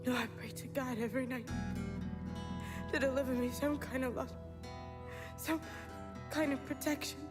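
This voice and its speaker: An actress playing a fragile, haunted young woman in a game, anguished and halting as she speaks. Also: high-pitched, steady tone, over music, lightly acted. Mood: wounded, bitter. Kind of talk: dramatic game cutscene acting.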